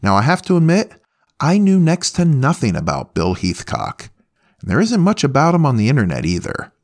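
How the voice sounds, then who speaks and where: A man's voice: mid-range voice, huge pitch swings, moderate pace. A man hosting a music podcast in a chatty, playful radio presenter's style, speaking directly to listeners.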